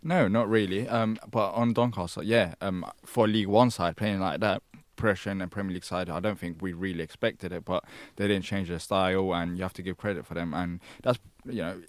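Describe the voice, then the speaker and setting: A man with a very low voice breaks down a football match on the radio, pausing often on fillers as he works out his points. His delivery is measured, and the sound is dry and close. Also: steady tone, no echo. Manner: hesitant, filler-heavy, measured. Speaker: studio guest pundit. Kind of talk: casual football analysis.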